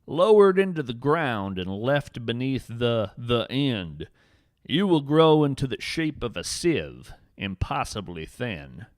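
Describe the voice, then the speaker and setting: A man playing a whimsical, earnest veteran naturalist adventurer, narrating a scripted fiction podcast with theatrical, deliberate phrasing. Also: mid-range voice, very animated, dry recording, studio quality. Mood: solemn, hushed.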